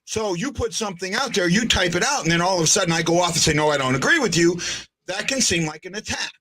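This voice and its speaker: A man's voice: high voice, expressive, quick pace, a crowd in the background. A man hosting a sports podcast in casual chat, often hesitating, restarting sentences and checking in with "right?". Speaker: podcast host.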